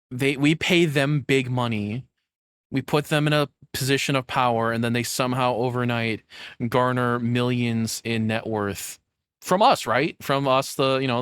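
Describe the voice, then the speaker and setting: A man's voice: mid-range voice, a bit bright, no room sound. Male reaction streamer, middle register, casual and sarcastic rambler who swears freely, dry sound.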